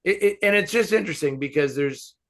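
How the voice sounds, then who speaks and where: A man hosting a casual question-and-answer film discussion, talking in an animated, halting way as he thinks aloud. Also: fairly high pitch. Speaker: host answering fan questions.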